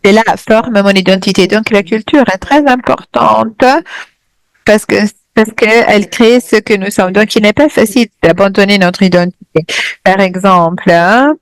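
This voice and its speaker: A woman's voice: mid-range voice, steady tone, moderate pace, no echo, rough recording. A woman interpreting a lecture live over a video call, hesitant and full of fillers and restarts.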